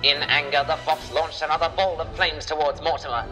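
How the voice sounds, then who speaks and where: A man's voice: on the high side, steady tone, a little fast, music in the background. A man giving scripted, dramatic narration of a children's adventure story.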